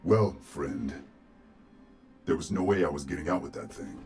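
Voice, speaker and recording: A man's voice: very deep voice, moderate pace, darkish tone, soft background music. A man playing a tough, wry robotic resistance fighter in a game, laconic and dry. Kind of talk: video game cutscene acting.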